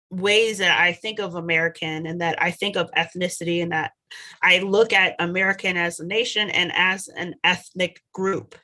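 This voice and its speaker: A woman in her thirties, a scholar, explaining her ideas in a measured, thoughtful solo talk over a headset on a video call from her home study. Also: lowish voice, bright, forceful tone. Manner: measured, thoughtful, with occasional restarts.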